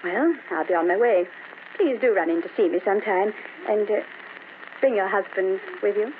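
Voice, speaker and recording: A woman's voice: medium pitch, normal speed, natural voice. An actress playing a sociable woman neighbor in an old-time radio drama, speaking politely and formally. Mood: courteous. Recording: noisy, narrow-band old radio recording.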